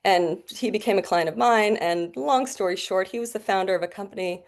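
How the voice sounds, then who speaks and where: A woman's voice: medium pitch, fairly quick. A woman in her forties from the Bahamas with a North American accent, a guest doctor telling her story in a chatty, laughing way. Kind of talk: casual interview chat.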